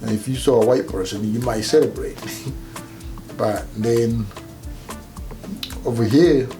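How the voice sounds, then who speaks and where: A man's voice: medium pitch, slow pace, music in the background, rough recording. A man from Rwanda with a Rwandan accent, telling personal stories casually as an interview guest. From Rwanda, grew up partly in Kenya.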